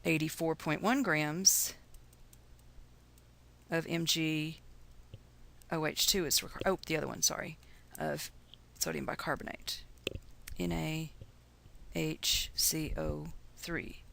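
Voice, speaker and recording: Lower-pitched Texan woman teaching casually. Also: steady tone, slow pace, creaky, pauses often, fairly bright tone. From Texas, USA. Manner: chatty, folksy, explanatory. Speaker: chemistry teacher. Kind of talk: informal recorded lesson.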